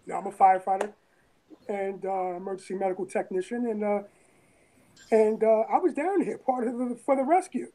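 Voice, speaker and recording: A woman from Brooklyn with a raspy voice, talking in a rambling, emphatic way in an interview, often repeating phrases. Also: mid-range voice, fairly quick, mellow, low-quality recording. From Brooklyn, New York. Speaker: interviewee, former firefighter and EMT. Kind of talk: casual interview talk.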